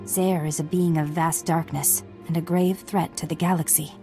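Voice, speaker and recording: A woman plays a serene, literal-minded mystic knight in a video game. Her voice is mellow and a little low, and her speech is calm, earnest and formal. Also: moderate pace, soft background music, close to an everyday voice. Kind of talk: video game dialogue acting.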